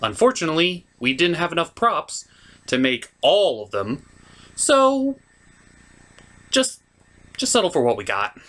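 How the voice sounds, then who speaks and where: A man presents a scripted comic review in a theatrical style. His fairly high voice moves expressively up and down, and he takes his time, leaning on dramatic pauses. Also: very animated, fairly bright tone. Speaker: host, comic movie reviewer.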